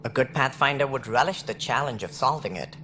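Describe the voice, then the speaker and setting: An actor playing a calculating, self-assured alien director in a video game, speaking in a measured, formal, condescending way. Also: male voice, medium pitch, animated, bright, forceful tone, slight background noise. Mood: cool, challenging. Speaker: director of a space initiative. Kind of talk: video game cutscene acting.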